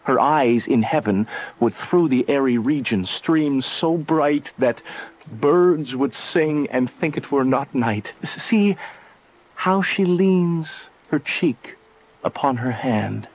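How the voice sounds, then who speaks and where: An actor playing a lovestruck young man in Shakespearean verse, speaking with tender, rapturous and measured delivery. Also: medium pitch, poor audio. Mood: yearning wonder. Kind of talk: slow dramatic verse acting.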